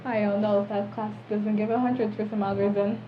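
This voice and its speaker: A woman's voice: medium pitch, little pitch movement, moderate pace. A teenage girl chatting casually as a guest on a talk show. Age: teens. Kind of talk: casual chat. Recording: dull audio with clear background noise.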